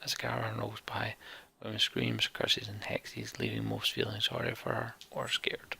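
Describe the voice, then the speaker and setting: A man's voice: on the low side, very animated, moderate pace, creaky, bright, forceful tone, a little hiss or hum. A man narrating a fantasy game's story text aloud as a let's play host.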